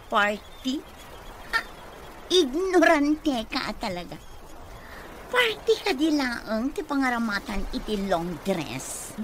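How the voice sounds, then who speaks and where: A vain, dreamy young office worker with an Ilocano accent. She talks slowly in a very high voice and takes offence easily, in radio comedy style over clear noise. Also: big pitch swings, exaggerated voice. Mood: haughty.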